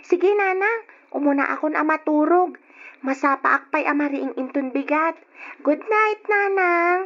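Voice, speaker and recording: A woman's voice: sits high, unhurried, fairly bright tone, muffled recording. A woman acting a sweet, considerate young daughter, childlike and eager, in an old Ilocano radio drama. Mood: sweet, earnest.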